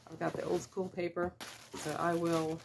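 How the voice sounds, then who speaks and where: A woman's voice: fairly low pitch, level tone, gravelly, background noise. Stuffy-nosed woman chatting through an unboxing. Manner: chatty, unhurried, with small laughs and fillers. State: stuffy head cold. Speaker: reseller vlogger. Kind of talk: casual unboxing chat. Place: small room with shelves of boxes, indoors. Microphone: camera at desk distance.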